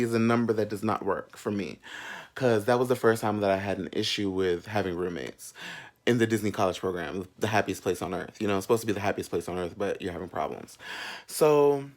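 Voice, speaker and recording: A man's voice: fairly low pitch, brisk. A young American man vlogging casually, telling stories to viewers in a sassy, gossipy, playful way full of slang. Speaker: vlog host. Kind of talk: casual chatty storytelling to viewers.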